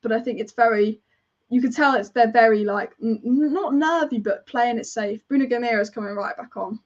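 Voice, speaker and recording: A woman's voice: a little high, brisk, dull audio. A woman commentating a football match as a fan on a live watch-along, chatty and partisan, rambling and reacting to the play as it happens.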